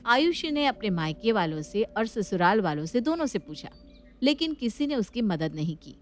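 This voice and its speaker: A woman's voice: medium pitch, big pitch swings, normal speed. A woman narrating a scripted family story as its storyteller.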